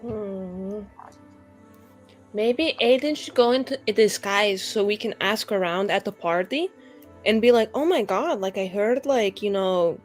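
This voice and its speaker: A woman's voice: medium pitch, a little slow, faint music behind. Chatty young-sounding woman improvising at game table. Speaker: tabletop roleplaying player. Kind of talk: casual improvised in-character roleplay.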